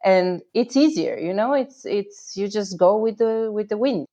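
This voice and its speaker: A woman's voice: mid-range voice, expressive, measured pace, dark tone, highs cut off. A woman from Croatia with a Croatian accent, answering conversationally as an interview guest. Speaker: interview guest, urban activism project founder.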